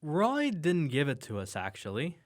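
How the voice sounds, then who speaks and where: Man as a lively, good-humoured detective sidekick. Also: on the high side, normal speed. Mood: explanatory. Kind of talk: audio drama acting.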